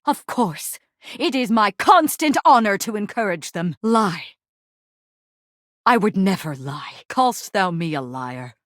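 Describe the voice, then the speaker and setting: An actress playing a proud, honor-bound warrior general in a video game, with formal, archaic, Shakespearean theatrical delivery. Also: female voice, mid-range voice, no echo, studio quality. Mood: measured, formal, then indignant. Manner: formal, grandiose, poetic, solemn.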